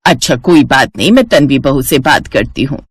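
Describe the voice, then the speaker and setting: A woman's voice: extremely low pitch, lively intonation, moderate pace, slightly rough, no room sound, studio quality, voice pushed down, heavily acted. A woman voicing an older mother-in-law in a family story, doting and indulgent with a fawning manner. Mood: soothing.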